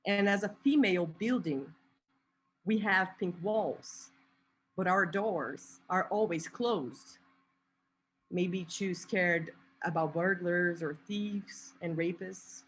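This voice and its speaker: A young woman tells a story slowly and poetically, weighing each phrase. Her voice sits a little low. A faint hiss runs under her video call audio. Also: low-quality recording. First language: Portuguese. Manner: slow, deliberate, poetic delivery. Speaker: activist and artist, presenter. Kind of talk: spoken-word storytelling in an online talk.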